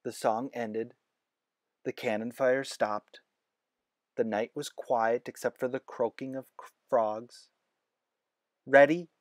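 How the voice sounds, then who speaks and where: Male storybook reader, mid-pitched, unhurried narration in a dry, close sound. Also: level tone, no echo. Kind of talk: children's book read-aloud narration.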